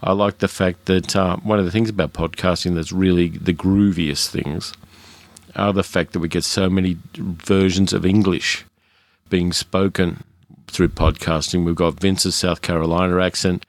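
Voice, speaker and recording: Deep-voiced Australian man hosting a podcast. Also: fairly even intonation, normal speed, dry recording. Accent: educated Australian. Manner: frank, chatty, with fillers and restarts. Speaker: podcast host. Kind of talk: casual solo podcast monologue.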